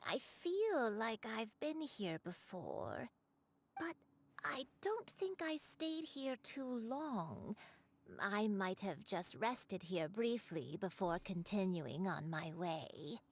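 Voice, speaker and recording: A woman voicing a kind, forgetful grandmother in a video game, speaking slowly and musingly. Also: medium pitch, very animated, heavily acted. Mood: gentle. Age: older. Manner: slow, musing and hesitant.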